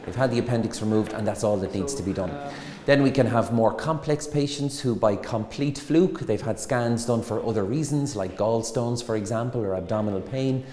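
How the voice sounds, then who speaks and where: Irish male consultant, 40s, brisk; lapel mic, reverberant room. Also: on the low side, warm tone. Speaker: hospital consultant. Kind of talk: interview explanation. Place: small hospital room with stone wall. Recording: echoey room with long reverb.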